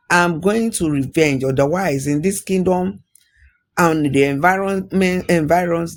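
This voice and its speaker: A man voicing a vengeful, stubborn young prince in a folktale, with emphatic, dramatic delivery. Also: on the high side.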